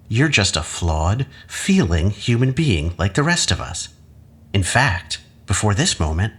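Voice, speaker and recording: An actor playing a manipulative academic husband in an audio drama, measured, coaxing and patronizing. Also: male voice, low-pitched, fairly bright tone, husky, heavily acted. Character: manipulative husband, an academic doctor, calmly condescending and persuasive.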